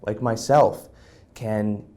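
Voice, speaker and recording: A man host speaking in a soft-spoken, sincere way as he thanks a guest and closes the show. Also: fairly low pitch, steady tone, extremely slow.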